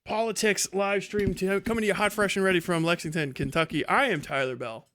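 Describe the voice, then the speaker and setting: An American man with a fairly high, bright voice talks to his livestream as host. His delivery is casual and rambling, with irreverent asides and plenty of swearing. The sound is close and dry. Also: fairly even intonation, fairly quick, dry recording. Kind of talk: casual profane livestream monologue.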